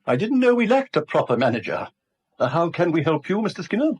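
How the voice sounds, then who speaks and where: An actor playing a testy, self-important English acting bank manager in a 1970s radio drama, brusque and huffy. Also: male voice, a little high, sing-song, a little fast, husky. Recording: dull vintage radio recording.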